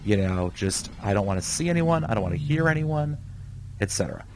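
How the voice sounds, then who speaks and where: Young male gaming host, slightly low voice, a bit sick, chatty live commentary. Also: animated, fairly quick, noisy background. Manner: rambling, chatty, talks to himself while playing. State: sick, voice somewhat off. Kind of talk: casual live gameplay commentary.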